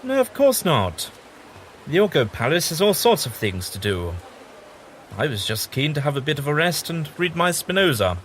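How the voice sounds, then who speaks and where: A man's voice: a little high, very animated, normal speed, a bit bright. A man playing a kindly, bookish, eccentric time traveller with an amiable, slightly absent-minded manner in an audio drama. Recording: audible background noise.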